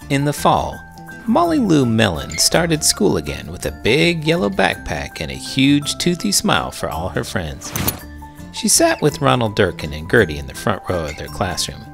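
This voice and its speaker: A man reads a children's story aloud as host. His delivery is theatrical and playful, swinging widely in pitch with some gravelly fry, over faint music. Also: huge pitch swings, normal speed, a bit bright. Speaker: read-aloud host, teacher and writer. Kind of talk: expressive children's storybook reading.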